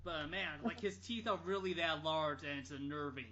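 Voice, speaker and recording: A man telling anecdotes casually on a podcast, in an animated, rambling, jokey way. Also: a little high, normal speed, a little hiss or hum. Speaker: podcast storyteller. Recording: dull lo-fi podcast audio.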